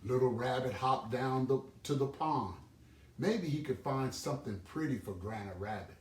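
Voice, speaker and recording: A man reading a children's storybook aloud as an expressive storyteller. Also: medium pitch, normal speed. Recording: roomy, distant microphone, background noise.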